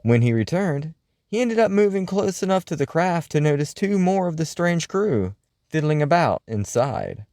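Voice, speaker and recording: Guy playing a flamboyant spooky-radio host, kinda high voice, super swoopy delivery, dry close sound, hams up every phrase. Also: big pitch swings, moderate pace, a little mellow, no echo. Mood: dramatic storytelling. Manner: grandiose, wordy, alliterative showman delivery. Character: theatrical, flamboyant male late-night paranormal radio host. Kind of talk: scripted comedic radio monologue and storytelling.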